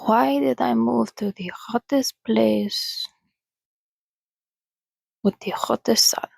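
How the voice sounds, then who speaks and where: Slow, breathy whispering ASMR woman. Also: a little high, no echo. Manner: hushed, soothing, often whispering. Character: calm, sociable female vampire soothing a companion. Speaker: ASMR host. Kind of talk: soft-spoken ASMR reading and roleplay.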